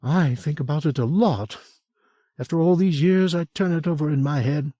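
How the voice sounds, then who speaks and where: A man voicing a warm, gently teasing middle-aged woman in an audiobook, chatty and lilting, sometimes dropping to a hush. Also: a little high, moderate pace, no room sound, pitched above the performer's own voice, lightly acted. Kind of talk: audiobook character voice.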